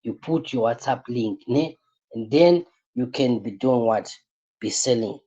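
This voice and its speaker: A man's voice: medium pitch, unhurried, mellow, husky, dull audio. A tired man, coaching a live online class and repeating his points with rhetorical questions. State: tired, voice strained.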